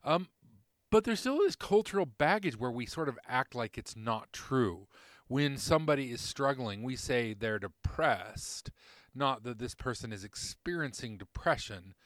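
A middle-aged man hosting a podcast alone, talking reflectively and haltingly, often repeating words and correcting himself. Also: medium pitch, animated, dry recording. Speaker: podcast host. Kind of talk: reflective solo podcast monologue.

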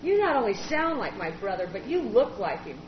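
An actor playing an eager, earnest young sibling who chatters on. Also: female voice, a little high, big pitch swings, a little fast. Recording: echoey, dull recording with noise.

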